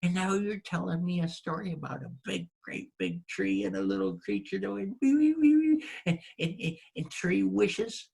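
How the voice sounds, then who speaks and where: A scolding peasant wife who nags and repeats herself in exasperation, as a male storyteller puts her on. The voice stays close to his own, gravelly and husky, only slightly raised. It sounds like a folk-tale character spoken aloud. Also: high voice, huge pitch swings, moderate pace, few pauses, a little mellow, voice pushed up, heavily acted. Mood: skeptical. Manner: nagging, exasperated, repetitive.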